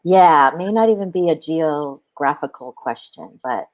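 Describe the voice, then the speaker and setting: A woman guest on a podcast, telling stories in a musing, reflective way with animated asides. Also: low voice, moderate pace. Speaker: podcast guest, spiritual companion. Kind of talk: reflective conversation.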